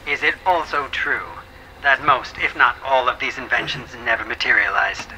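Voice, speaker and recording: A man's voice: mid-range voice, moderate pace, sharp tone, slight background noise, low-quality recording. Man acting a piercing, pompous government attorney. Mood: pressing. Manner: pompous, clipped, theatrical cross-examining. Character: stern, badgering male government attorney, pompous and scornful. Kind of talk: courtroom audio drama acting.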